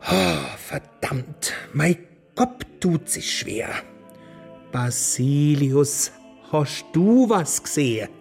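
A befuddled, evasive crook with a high, creaky voice. He whines haltingly and his pitch swings widely, in radio play style. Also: expressive, darkish tone, soft background music, exaggerated voice. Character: shifty, befuddled male small-time crook. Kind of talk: German radio play acting.

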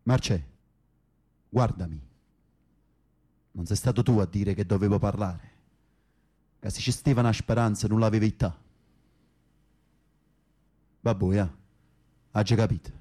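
An actor playing a tough, streetwise Camorra foot soldier in prison, blunt and commanding, with a Neapolitan accent, in a naturalistic radio drama. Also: male voice, on the low side, a little slow, pauses often, mellow. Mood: earnest, firm.

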